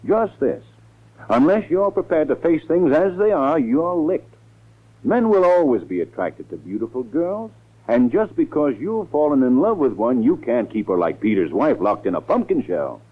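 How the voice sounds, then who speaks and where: A commanding, scheming lawyer in an old radio drama, heard through a thin, phone-like recording. His husky voice swings in pitch as he presses his point. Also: big pitch swings, moderate pace. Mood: firm urging. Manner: firm, insistent, commanding. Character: scheming, authoritative lawyer urging and pressuring others. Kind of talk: 1940s radio drama acting.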